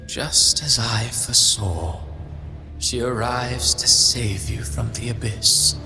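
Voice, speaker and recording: A man's voice: medium pitch, steady tone, slow. A man playing a cold, fatalistic villain in a video game, delivering portentous, theatrical taunts. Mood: ominous calm. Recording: voice over clear background music.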